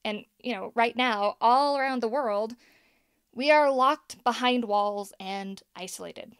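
An actress playing a young podcaster and scriptwriter, earnest and unsure of herself, talking in a conversational, hesitant way in a naturalistic audio drama. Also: female voice, a little high, measured pace, smooth, no echo, studio quality. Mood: wistful, vulnerable. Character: Helena, young woman podcaster and scriptwriter, self-doubting, earnest.

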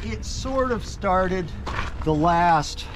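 A man's voice: high voice, lively intonation, extremely slow. An older retired man, outdoors at a truck show, casually explaining his custom truck in a plain, matter-of-fact way with frequent fillers. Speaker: truck owner giving a tour. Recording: outdoor noise.

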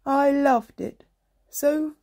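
A woman's voice: high voice, extremely slow, dark tone, heavily acted. A woman playing a fond, wistful old grandmother in a radio drama, with genteel, old-fashioned speech. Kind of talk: 1930s-set radio play acting.

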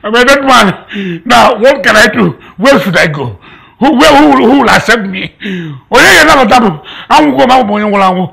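Husky, high-pitched panicking Nigerian uncle. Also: male voice, animated, a little fast, bright, forceful tone, heavily acted, poor audio. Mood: despairing. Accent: Nigerian Igbo. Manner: stammering, repeating phrases. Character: desperate, panicking uncle betrayed by his nephew, in hiding. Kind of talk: melodramatic film acting.

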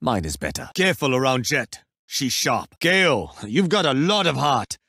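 A man's voice: medium pitch, sing-song, normal speed, creaky, bright, forceful tone, slightly rough, no echo, clean studio sound, heavily acted. A man with an Indian accent voicing a confident, playful young warrior in a video game, with teasing, theatrical delivery. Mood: playful banter. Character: confident, playful male warrior agent wielding water artifact. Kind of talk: video game character voice lines.

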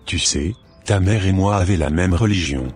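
A man plays a threatening cartoon father, old-fashioned and authoritarian. His voice is deep and very rough, scolding over background music. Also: moderate pace, a little mellow. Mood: angry, stern. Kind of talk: scripted cartoon dialogue.

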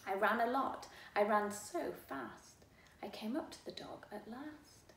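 A middle-aged woman reading a children's book aloud in an expressive, deliberate, rhythmic way. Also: a little high, creaky, recorded from a distance. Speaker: storyteller. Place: living room at home. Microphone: camera a few feet away. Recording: echoey room, faint background noise.

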